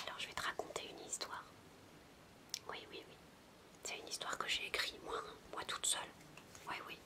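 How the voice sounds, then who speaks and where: Woman whispering an asmr story, babysitter type, playful, rambly little asides. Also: normal speed. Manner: playful babysitter addressing a child listener; whispery, meandering, chatty asides. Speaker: ASMR roleplay performer. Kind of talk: soft-spoken ASMR storytelling.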